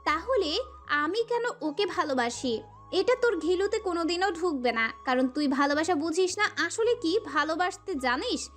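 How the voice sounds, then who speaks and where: A woman narrator plays a furious young woman in a dramatic audio story. Her voice is very high and swings widely as she spits biting, contemptuous taunts, with music underneath. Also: a bit bright. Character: furious, scornful young woman berating her romantic rival.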